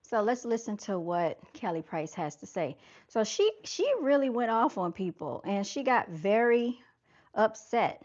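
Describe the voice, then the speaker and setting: A woman with a soft voice chats casually with her livestream viewers and keeps calling them y'all. Her voice sits at an ordinary pitch. The camera-mic audio comes through a little dull. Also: lively intonation, a little slow. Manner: soft-spoken, chatty, addresses viewers as y'all. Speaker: livestream host.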